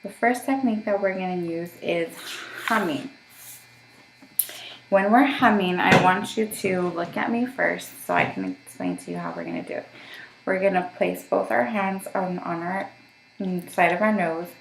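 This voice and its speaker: A woman's voice: fairly low pitch, lively intonation, normal speed, gravelly, echoey room, background noise. A woman working as a voice therapist, giving patient step-by-step instructions for voice exercises and checking in often. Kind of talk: guided voice therapy session.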